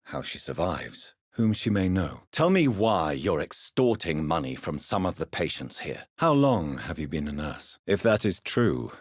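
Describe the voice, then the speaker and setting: A grave British gentleman vampire doctor for a video game. His voice is very deep and markedly husky, with expressive rises and falls. He speaks in formal, measured period phrases, courteous and earnest. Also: no room sound, studio quality, exaggerated voice. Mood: calm, inquiring. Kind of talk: dramatic game dialogue, formal period speech.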